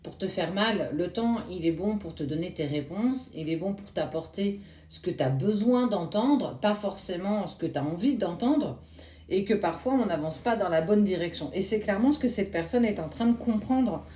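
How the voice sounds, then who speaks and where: A woman, hoarse this morning, giving a casual tarot reading and speaking reassuringly straight to the viewer. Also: mid-range voice, animated, normal speed, warm tone, reverberant. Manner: addresses viewer directly with soothing reassurance. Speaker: tarot card reader.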